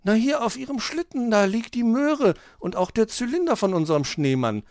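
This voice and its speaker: An alert, helpful little cat from a children's story, voiced by a man. The childlike voice is high-pitched and swings up and down readily. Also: sing-song, normal speed, darkish tone, no echo, clean studio sound, exaggerated voice. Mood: puzzled.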